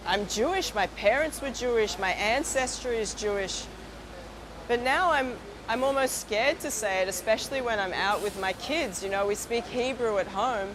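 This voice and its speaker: A woman's voice: fairly high pitch, normal speed, noisy background. A woman interviewed outdoors on a city street, talking earnestly and hesitantly. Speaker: interviewee, campaigner.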